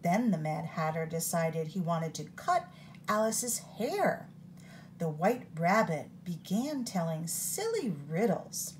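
A woman reading a picture book aloud for storytime, her voice rising and falling expressively. She takes it slowly and deliberately, recorded close on a phone above the page. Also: lowish voice, slight background noise.

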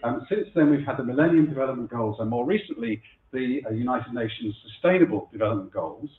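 Middle-aged male keynote speaker, husky from a cold, fillers and restarts; tinny, roomy lecture hall sound. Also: mid-range voice, lively intonation, fast. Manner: chatty, self-deprecating, frequent fillers and restarts. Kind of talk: conference keynote talk. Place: lecture room before a live audience. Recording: echoey room over a phone-like line.

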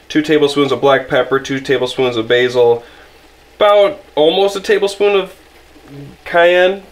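Easygoing young man cooking in his kitchen. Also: mid-range voice. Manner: easygoing, apologetic, rambling with restarts. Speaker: outdoor vlogger cooking camp meals. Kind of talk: casual how-to cooking narration. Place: home kitchen, indoors. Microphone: camera filming from above the stove.

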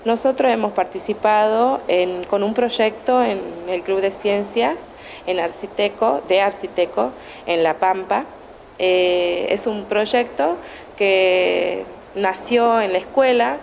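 A woman's voice: medium pitch, takes it slowly, vocal fry, a little mellow, slight background noise, poor audio. A woman, a teacher answering news interview questions with many fillers and restarts. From Argentina. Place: indoor room at a school event. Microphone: handheld TV station microphone held close.

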